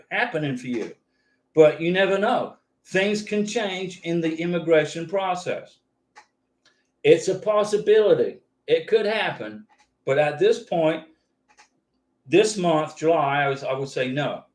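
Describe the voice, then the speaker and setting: A middle-aged American man hosting a live stream, reading viewer questions aloud and answering in a brisk, coaching way, often repeating words. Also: a little high, roomy sound. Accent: mixed American, no regional accent. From United States, military family.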